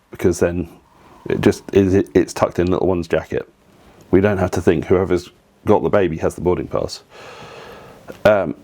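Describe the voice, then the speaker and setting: A man's voice: deep voice, darkish tone. A man giving casual family travel advice, chatty and opinionated, with frequent ums and asides. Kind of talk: casual advice talk to camera.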